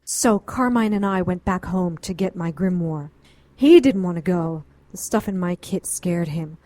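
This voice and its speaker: A woman's voice: on the low side, measured pace, warm tone, dry recording, lightly acted. A woman playing a composed, defensive witch who frankly narrates her past in an audio drama. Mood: reflective. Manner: frank, self-justifying.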